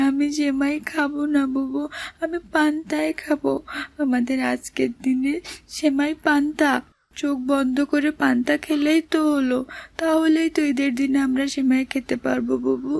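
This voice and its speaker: A hungry little boy in a cartoon drama. The voice is high-pitched and childish, insistent and whining, yet tender-hearted. It is recorded very dry. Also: level tone, moderate pace, vocal fry, a little mellow, no echo. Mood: upset, tender.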